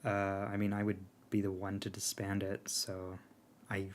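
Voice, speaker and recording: A man chatting casually on a live stream, his low voice strained and worn. He talks in a laid-back way, full of ums and soft laughs. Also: monotone, moderate pace. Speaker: streamer and alliance leader answering chat. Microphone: earbuds.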